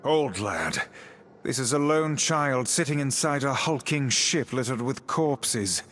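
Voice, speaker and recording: Pompous talking book. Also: male voice, medium pitch, lively intonation, slow, fairly bright tone. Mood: grave. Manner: formal, archaic, pompous diction. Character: pompous, learned magical talking book, male companion. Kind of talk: video game voice acting. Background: game music.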